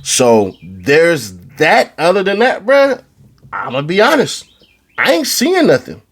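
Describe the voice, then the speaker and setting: A man from California talking in a fairly high voice, loose and slangy as he commentates on a court case. He swears casually and keeps his delivery animated and conversational. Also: takes it slowly. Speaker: online commentator.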